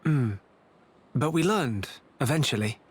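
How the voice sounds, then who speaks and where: A man's voice: mid-range voice, lively intonation, unhurried, background noise, natural voice. A man playing an earnest, polite and resolute young hero in a video game. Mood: reflective, earnest.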